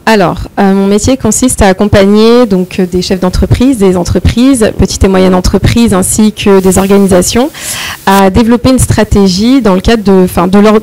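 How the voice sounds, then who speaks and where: A woman, a strategy consultant, answering interview questions in a clear, organized way. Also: mid-range voice, normal speed, dark tone, rough recording. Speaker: strategy and project development consultant, guest.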